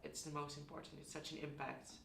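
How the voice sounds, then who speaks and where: A man's voice: a little high, flat delivery, fast talker, gravelly, bright, forceful tone, roomy sound, recorded from a distance, slight background noise. A man in his twenties answering interview questions in a casual, thoughtful way, often searching for words. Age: 20s. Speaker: interview guest.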